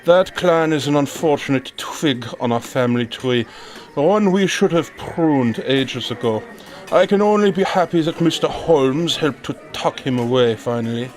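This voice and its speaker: A man acting an aloof, pedantic gentleman guest in a radio drama, speaking in a precise, formal, wordy way. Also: on the high side, moderate pace, faint music behind, close to an everyday voice. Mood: dry, precise.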